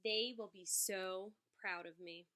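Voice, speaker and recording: Woman reading as eager, bright-voiced little boy critter. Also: mid-range voice, steady tone, takes it slowly. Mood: proud. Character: eager young boy critter, proud budding drummer.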